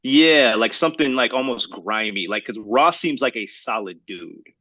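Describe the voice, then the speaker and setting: A man co-hosting a podcast in casual, slangy, opinionated chat, talking in a halting, rambling way and circling back to qualify his points. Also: medium pitch, animated, bright, forceful tone, no room sound. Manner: halting, rambling, hedging delivery; slangy, addresses listener as bro. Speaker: podcast co-host.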